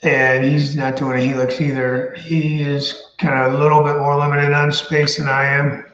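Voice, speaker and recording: A man hosting a casual chat, thinking out loud in a meandering way. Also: on the high side, level tone, normal speed. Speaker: show host. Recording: roomy, slightly dull audio.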